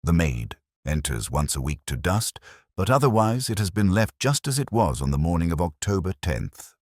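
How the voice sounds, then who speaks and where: Man reading as deep, guarded Victorian gentleman. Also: big pitch swings, moderate pace, no echo, studio quality. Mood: formal, subdued. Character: uneasy, formal Victorian gentleman guiding a detective.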